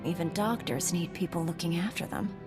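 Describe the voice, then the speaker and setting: An actress playing a composed, earnest young female knight in a science fiction video game, speaking in a measured way. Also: fairly low pitch, animated, in a large hall, loud music behind the voice. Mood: warm. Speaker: player character. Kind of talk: video game dialogue acting.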